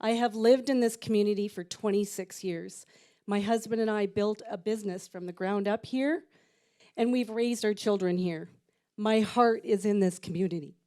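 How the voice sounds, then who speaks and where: A woman giving an earnest, measured campaign speech as a council candidate in a community rec center. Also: medium pitch, warm tone. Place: community recreation center hall.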